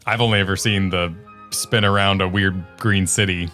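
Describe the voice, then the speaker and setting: A man's voice: low voice, fairly even intonation, normal speed, bright, forceful tone, faint music behind. A man co-hosting a video game show, bantering casually with dry, playful quips.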